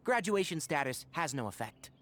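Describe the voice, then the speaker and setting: An actor playing a sensible, studious young scholar in a video game, articulate and dry in manner. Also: male voice, fairly high pitch, sing-song, moderate pace, a bit bright. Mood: explanatory.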